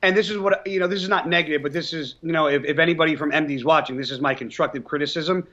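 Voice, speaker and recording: A man's voice: on the high side, rapid-fire, highs cut off. A man in his thirties from New Jersey, a professional bodybuilder chatting bluntly in an interview, swearing freely and telling stories with energy. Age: 30s. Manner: blunt, swears often, animated storytelling. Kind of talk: casual interview chat.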